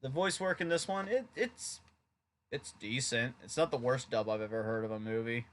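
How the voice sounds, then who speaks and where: A man's voice: medium pitch, moderate pace, slight background noise. A young man reviewing an old anime dub in a casual, sarcastic, rambling way.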